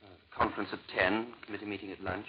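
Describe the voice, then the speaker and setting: An actor playing an earnest, overworked bishop, nervous, stammering and formal, in 1940s radio drama style. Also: male voice, on the low side, flat delivery, fast, close to an everyday voice. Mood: irritated, resigned. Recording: muffled 1940s radio recording, faint noise.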